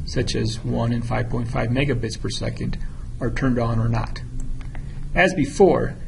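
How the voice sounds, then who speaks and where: A man with a creaky, slightly gravelly voice narrates a product tutorial in a methodical, instructional way. His pitch sits in the middle range for a man. There is faint noise behind him. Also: low-quality recording. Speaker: product demonstrator. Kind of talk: scripted software tutorial narration.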